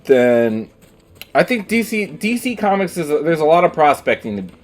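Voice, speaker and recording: A man's voice: on the high side, big pitch swings, normal speed. A man streaming a game, rambling casually with lots of ums and the odd swear word. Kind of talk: casual chat while gaming. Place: at home, streaming.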